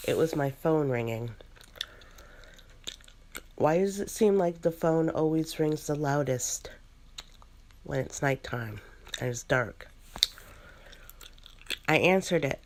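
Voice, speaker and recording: A woman chewing gum as she narrates a first-person ghost story in an ASMR style, pausing between short phrases. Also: deep voice, expressive, darkish tone, slight background noise. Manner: chews gum while narrating.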